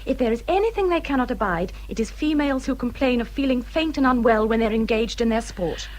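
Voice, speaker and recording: An actress playing a peevish, self-pitying young married gentlewoman in a period drama, with an English accent and a fretful, self-important manner. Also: fairly high pitch, lively intonation, a little fast, natural voice. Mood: fussy, insistent. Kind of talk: British period radio drama acting.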